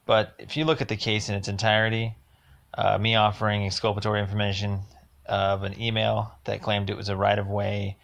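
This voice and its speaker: Low, gravelly man leaving an insistent voicemail. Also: a bit bright. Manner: halting, insistent, emphatic. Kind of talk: rambling spoken voicemail complaint.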